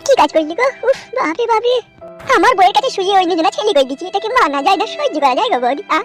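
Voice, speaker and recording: Heartbroken village fool for cartoon comedy performer acting, high whining voice, fast talk, music bed. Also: animated, fairly bright tone. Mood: anguished. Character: whiny, heartbroken cuckolded husband, comic cartoon fool. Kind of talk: amateur Bengali cartoon comedy dialogue. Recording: music under the voice.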